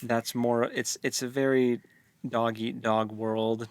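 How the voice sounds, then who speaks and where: Guy chatting about a book, pretty flat pitch, informal slangy retelling, dry recording. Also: slow, no room sound. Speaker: book club co-host. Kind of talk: casual book discussion.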